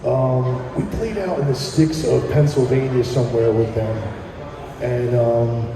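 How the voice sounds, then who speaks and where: Slow-talking man in a roomy venue. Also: mid-range voice, fairly even intonation, a crowd in the background. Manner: rambling, many ums and restarts. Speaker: musician guest. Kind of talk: casual radio chat. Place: live broadcast at a venue. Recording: roomy live venue audio from far off.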